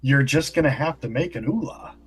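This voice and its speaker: A man in his thirties co-hosting a casual livestream over a video call, teasing and relaxed. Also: medium pitch, brisk, husky, soft background music. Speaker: co-host.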